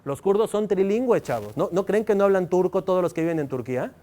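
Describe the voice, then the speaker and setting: A man's voice: high voice, expressive, quick pace, dark tone. A male university professor with a Mexican accent, lecturing students and firing rhetorical questions at them. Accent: Mexican Spanish. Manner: engaging, fires rhetorical questions at the audience.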